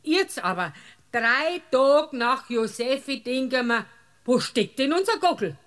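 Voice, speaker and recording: A woman's voice: high-pitched, animated, a little slow, fairly bright tone. A woman with a Bavarian accent playing a brazen, talkative village witness in a comic radio drama, folksy and blunt. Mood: indignant. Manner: folksy, blunt, aggrieved storytelling.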